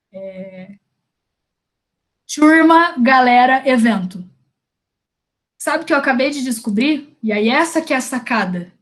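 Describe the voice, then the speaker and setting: A young woman with a Brazilian Portuguese accent talks through a career exercise for a group. Her voice sits a little high. Her talk is loose and slangy, full of restarts. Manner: casual and slangy, with frequent restarts. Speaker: career workshop presenter. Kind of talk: informal workshop talk.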